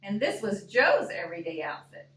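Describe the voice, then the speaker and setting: A woman reading a picture book aloud in an expressive storytime style, deliberate and often putting questions to young listeners. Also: mid-range voice. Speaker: librarian reading a storybook to children. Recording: echoey room, distant microphone.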